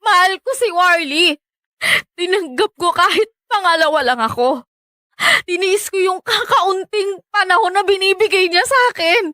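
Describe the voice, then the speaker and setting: A heartbroken young woman clings stubbornly to her married lover. Her voice is very high, bright and insistent, pleading with emotion. The sound is dry and close. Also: dry recording. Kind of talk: Tagalog radio drama acting.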